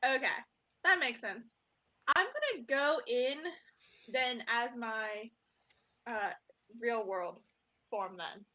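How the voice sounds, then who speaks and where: A woman's voice: on the high side, lively intonation, slow, long pauses, pushed and bright, dull audio. A woman playing a tabletop game, chatting casually and thinking aloud about what her character will do.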